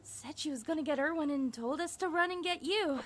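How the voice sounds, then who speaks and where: A woman acts as an eager, chatty youngster in an audio drama. The voice is high and youthful, with an eager delivery. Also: brisk, background noise, close to an everyday voice. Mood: excited.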